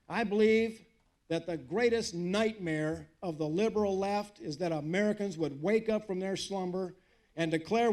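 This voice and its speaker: An older man giving a fiery patriotic speech at a live rally, emphatic and preacherly, with wry asides to the audience. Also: high-pitched. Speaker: guest speaker at a political rally. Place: live event in front of an audience.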